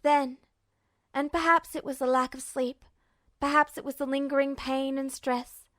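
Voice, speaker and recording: High-voiced woman reading a story. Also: little pitch movement, normal speed, fairly bright tone, dry recording, clean studio sound. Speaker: podfic reader. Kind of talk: audiobook narration of fan fiction.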